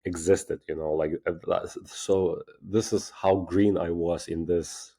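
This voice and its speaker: A man from Ukraine with a Ukrainian accent, a guest on a casual podcast interview, speaking haltingly and often restarting his words, with self-deprecating humor. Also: sits low, fairly even intonation, vocal fry, mellow. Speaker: guest, agency founder.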